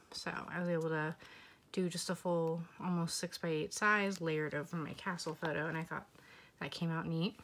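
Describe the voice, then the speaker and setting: Young woman, slightly hoarse, lower voice; relaxed chatty vlog narration. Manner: relaxed, chatty, with fillers and occasional whispered asides. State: slightly hoarse, losing her voice. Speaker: scrapbooking vlogger. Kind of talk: casual walkthrough narration.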